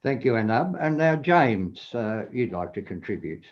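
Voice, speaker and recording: A man's voice: fairly low pitch, sing-song, moderate pace. A man moderating a town hall, giving brief handovers between speakers. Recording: distant, muffled.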